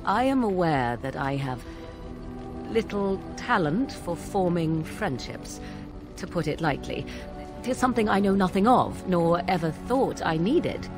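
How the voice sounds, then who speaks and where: An actress voicing a proud, guarded young witch in a video game, with formal, archaic, measured speech. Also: on the low side, big pitch swings. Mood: earnest, guarded. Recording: music underneath. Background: music.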